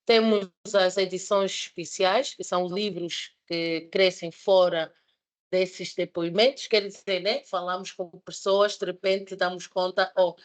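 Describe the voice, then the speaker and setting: A woman's voice: on the low side, steady tone, a little slow. A woman in her thirties, not a native Portuguese speaker, presenting a project at a panel debate with many fillers and restarts. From Switzerland. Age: 30s.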